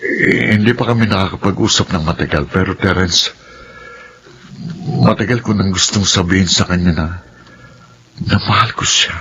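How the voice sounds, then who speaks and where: A man's voice: low voice, moderate pace, pauses often, a bit bright, slight background noise. An actor playing a remorseful, earnestly pleading lover and father in a Filipino radio drama. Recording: dull radio recording, music bed.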